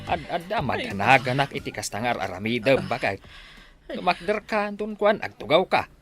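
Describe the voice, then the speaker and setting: A man acting a practical husband in a Filipino radio drama. His mid-pitched voice moves up and down a lot as he admonishes in an Ilocano accent, over music. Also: very animated, normal speed, close to an everyday voice. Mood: firm reassuring.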